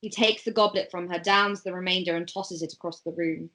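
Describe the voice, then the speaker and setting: A woman's voice: on the low side, fairly even intonation, fairly quick, bright, forceful tone. A woman narrating a table read, reading stage directions aloud and at times stumbling over words.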